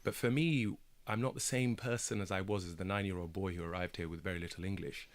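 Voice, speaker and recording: A deep-voiced man in his thirties talks in a radio studio. Swahili was his first language, and he makes his points earnestly, leaning hard on key words and sometimes repeating them for stress. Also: fairly even intonation, fast. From Kenya. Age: 30s. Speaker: barrister and broadcaster guest.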